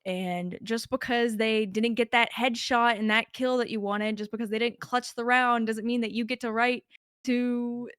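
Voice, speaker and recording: A woman's voice: on the high side, fairly even intonation, normal speed, fairly bright tone, smooth, no room sound, studio quality. A young woman as a podcast guest, talking in a chatty way with lots of filler words. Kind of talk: casual podcast conversation.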